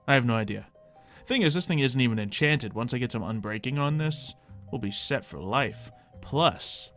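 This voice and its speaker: Excitable man narrating a game. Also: mid-range voice. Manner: excitable, thinking aloud with frequent exclamations. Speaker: gaming YouTuber. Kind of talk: roleplay gameplay commentary.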